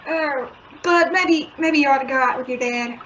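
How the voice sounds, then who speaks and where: A woman playing a patient, gently worried wife and mother in an old-time radio comedy. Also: high voice, normal speed. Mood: concerned. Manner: tactful, gently chiding. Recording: muffled, very noisy recording.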